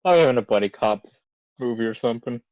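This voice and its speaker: A man's voice: medium pitch, animated, very fast, darkish tone, dry recording, muffled recording. A man reading aloud casually on a livestream, adding offhand, muttered comments. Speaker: livestream co-reader.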